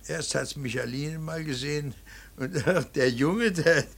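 An actor in a classic radio drama playing a brooding, devout older painter and stern father, speaking haltingly and emphatically, repeating his words. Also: on the high side, gravelly. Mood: agitated, halting.

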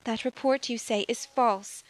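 A woman voicing a gentle young noblewoman, earnest and emotional, in an audio drama. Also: a little high, fairly even intonation, a little slow, no echo, close to an everyday voice. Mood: tender. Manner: earnest, emotional, tender pleading.

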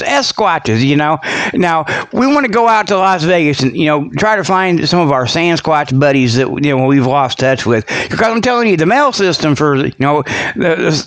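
Male radio host, high-pitched voice, folksy and digressive, delivering loose comic monologue. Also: fairly bright tone. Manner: folksy, digressive, peppered with "okay" and "you know"; chuckles at own jokes. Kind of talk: rambling comedic monologue.

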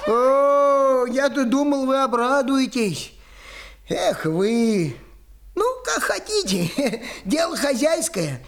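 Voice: high-pitched, animated, slow, heavily acted. An actor playing a grumbling, boastful but kindly old male forest goblin in a children's musical audio fairy tale, with folksy muttering and exclamations. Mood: disappointed. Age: older.

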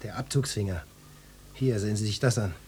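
An actor playing a brash, lecherous police detective in a German radio drama, gruff and bossy with pompous flirtatious flourishes. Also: male voice, on the low side, brisk, a little mellow. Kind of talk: German radio drama acting, parodic hard-boiled cop.

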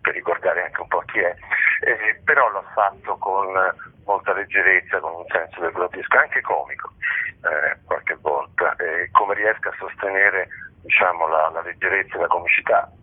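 A very low-voiced man speaks as a guest in a radio interview. His voice is rough and husky, his talk halting and reflective. He comes through a thin, band-limited telephone line. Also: fairly even intonation. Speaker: interview guest, theatre collaborator. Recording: muffled phone line on radio.

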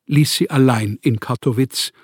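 Man playing a sad proud writer, husky voice, very dry close sound, radio drama. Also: medium pitch, moderate pace, dry recording, close to an everyday voice. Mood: wistful, reflective. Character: melancholy, proud, rootless Austrian writer, man. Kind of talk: autobiographical radio play monologue.

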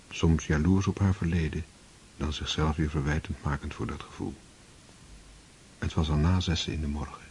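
A man narrating a radio play in a measured, literary manner. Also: extremely low pitch, darkish tone, a little hiss or hum.

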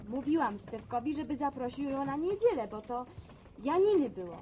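High-pitched gossipy village woman. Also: animated, normal speed, warm tone. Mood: gossipy, confiding. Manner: gossipy, storytelling, plain-spoken. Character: gossipy village woman, homely and plain-spoken. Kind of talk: radio drama acting. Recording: dull lo-fi recording, noisy scene sounds.

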